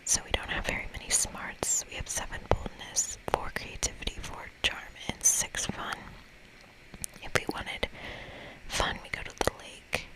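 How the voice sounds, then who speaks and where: A woman whispering breathily in an ASMR style as she hosts a game playthrough, reading aloud and commenting softly. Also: measured pace, rough recording. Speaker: ASMR gaming host.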